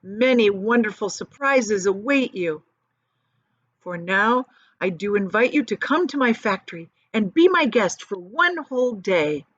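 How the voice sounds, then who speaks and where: A woman teacher reading a children's book aloud with expressive, softly varied storytelling. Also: mid-range voice, measured pace, no room sound. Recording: dry, dull lo-fi audio.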